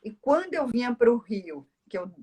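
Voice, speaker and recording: A woman's voice: on the high side, sing-song, moderate pace, very noisy. A woman from Northeast Brazil with a Brazilian accent, talking at length in a casual, explanatory conversation as a speech therapist. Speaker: speech therapist, interview guest.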